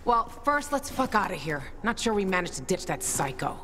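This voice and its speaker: A woman's voice: mid-range voice, very animated, normal speed, a bit bright, a little hiss or hum. An actress playing a tough, cynical young female sniper in a video game, blunt and sardonic. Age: young adult. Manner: blunt, sardonic, foul-mouthed. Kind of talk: video game cutscene acting. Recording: game soundtrack music behind.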